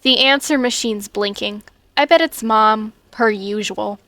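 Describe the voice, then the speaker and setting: A woman playing a moody but earnest teenage schoolgirl heroine in a comic fandub, youthful and expressive. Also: mid-range voice, measured pace, dry recording.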